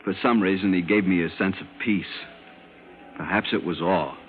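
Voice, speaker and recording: A man's voice: sits low, moderate pace, faint music behind, natural voice. A man playing a frightened lieutenant, telling his strange story intensely in an old-time radio drama. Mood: awed. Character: frightened military lieutenant recounting a strange captivity, urgent and haunted. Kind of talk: old-time radio drama acting, first-person narration. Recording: noisy, narrow-band old radio recording.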